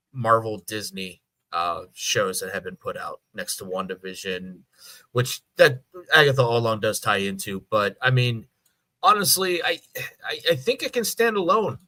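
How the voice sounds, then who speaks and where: A man's voice: fairly low pitch, lively intonation, a little slow, pushed and bright, low-quality recording. A man co-hosting a podcast, chatting casually and enthusiastically about shows in an agreeable way. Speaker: podcast co-host.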